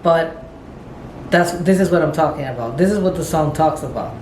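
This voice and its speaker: A woman's voice: deep voice, expressive, normal speed, a little mellow. A middle-aged woman giving casual, rambling commentary, thinking aloud as she repeats and rewords herself. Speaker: vlogger paying homage to a pop singer. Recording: echoey room with faint background noise.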